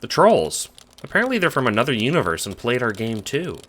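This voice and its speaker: A man's voice: medium pitch, sing-song, brisk, fairly bright tone, pitched above the performer's own voice. A man playing an awkward, hesitant teenage prankster who questions their gender, in an audio drama. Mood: puzzled. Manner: hesitant, searching for words.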